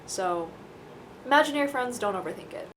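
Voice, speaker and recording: A woman's voice: a little high, animated, brisk, a little hiss or hum, rough recording. A young woman from Taiwan talks casually to camera in a rambling, self-deprecating, dryly joking way. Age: young adult. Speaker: vlogger.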